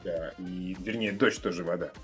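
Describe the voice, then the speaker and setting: A man co-hosting a podcast in casual chat, with playful and somewhat halting phrasing. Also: low-pitched, fairly even intonation, breathy edge, soft background music. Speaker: podcast co-host.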